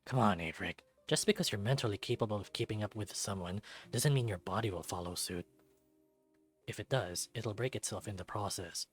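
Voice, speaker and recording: A man voicing a large male wolf who mentors fighters in a visual novel, steady and instructive. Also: on the low side, brisk, breathy edge, faint music behind. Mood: patient teaching.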